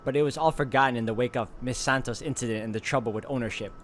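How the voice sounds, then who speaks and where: A man's voice: medium pitch, fast talker, a bit bright. Man narrating a game over faint noise. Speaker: let's play host reading a visual novel aloud. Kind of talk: narration read aloud with casual asides.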